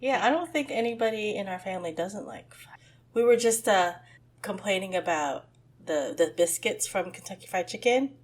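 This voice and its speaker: A middle-aged woman co-hosting a podcast with her daughter, her voice husky from the flu, chatting casually and laughing often. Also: mid-range voice, lively intonation, normal speed. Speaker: podcast co-host. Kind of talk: casual chat.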